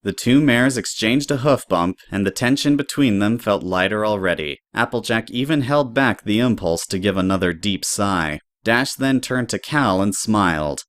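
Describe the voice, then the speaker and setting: A man narrates a story in a low-pitched voice. The delivery is scripted prose, recorded close and dry. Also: animated, normal speed, few pauses, a bit bright, no room sound.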